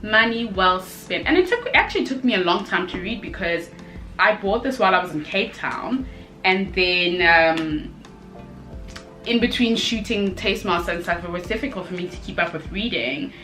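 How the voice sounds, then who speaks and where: A young woman chatting casually in a book review video, expressive and given to emphatic repetition. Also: mid-range voice, moderate pace, faint music behind.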